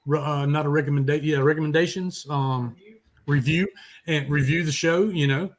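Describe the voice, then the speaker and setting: A man's voice: on the high side, steady tone, moderate pace. A talkative man co-hosting a music talk show, chatting in a casual, rambling way with blunt swearing. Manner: foul-mouthed, blunt, talkative.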